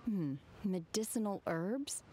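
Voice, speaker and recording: An actress voicing a calm, aloof and blunt young woman in an anime video game, speaking in a measured deadpan. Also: mid-range voice, heavy background noise.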